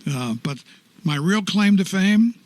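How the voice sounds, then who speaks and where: A man's voice: sits high, animated, unhurried. An older man, a choral director, giving a genial radio studio interview and telling stories with a chuckle. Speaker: choral society artistic director, guest.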